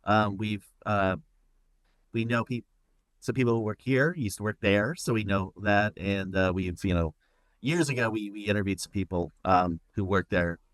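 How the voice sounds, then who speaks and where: Halting low-voiced man. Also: no echo. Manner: halting, full of restarts. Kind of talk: casual podcast conversation.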